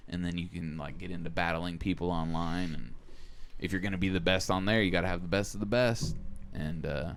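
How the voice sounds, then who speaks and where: A man's voice: low-pitched, fairly even intonation, fairly quick. A man chatting casually with friends as a podcast co-host, rambling enthusiastically with plenty of slang and swearing.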